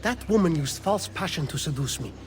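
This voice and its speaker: An actor playing a bitter, spiteful man in a game cutscene, sneering and petulant, quick to anger. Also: fairly high pitch, animated, a little hiss or hum, close to an everyday voice. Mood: resentful. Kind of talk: dramatic video game cutscene acting.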